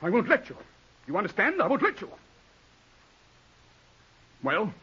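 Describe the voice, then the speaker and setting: Husky, domineering English lawyer. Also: male voice, high-pitched, huge pitch swings, measured pace, exaggerated voice. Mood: angry, outraged. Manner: forceful, scornful, lecturing. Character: domineering English lawyer, ambitious, exasperated older brother. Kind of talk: 1940s radio drama acting. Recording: dull 1940s radio broadcast recording.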